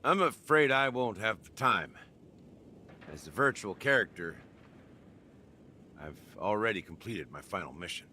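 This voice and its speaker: Low, sharp-edged, world-weary guy. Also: expressive, unhurried, pauses often, slight background noise. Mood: wry, resigned. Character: world-weary, cool man with a finished mission.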